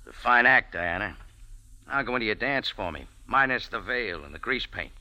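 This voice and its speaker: An actor playing a tough, wisecracking cafe owner who narrates in a clipped, hard-boiled style, in 1940s radio acting. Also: male voice, lowish voice, animated, normal speed, bright, forceful tone, no room sound. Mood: dry. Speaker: lead and narrator.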